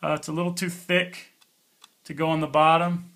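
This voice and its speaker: A man's voice: fairly high pitch, flat delivery, moderate pace, fairly bright tone. A man giving a casual hands-on product review in an informal, plainspoken, folksy way. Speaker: product reviewer, channel host.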